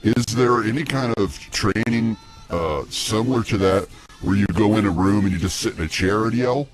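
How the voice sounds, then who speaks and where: A man hosting a comedic talk show in a casual, jokey, halting way. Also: on the low side, music in the background.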